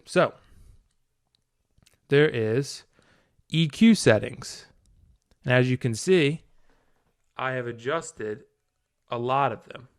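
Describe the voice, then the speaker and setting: A man's voice: medium pitch, dry recording, clean studio sound. A man giving a casual, hands-on tutorial. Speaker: tutorial video maker.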